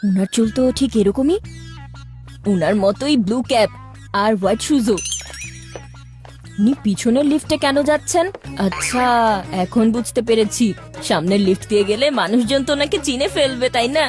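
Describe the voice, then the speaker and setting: An eager, impulsive little cartoon kid, voiced by a woman, pitched high. The delivery is excitable and full of exclamation, as in an animated children's show, with faint music behind it. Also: moderate pace, long pauses, natural voice. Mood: excited, determined. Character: eager, impulsive young child cartoon character, cricket-star fan.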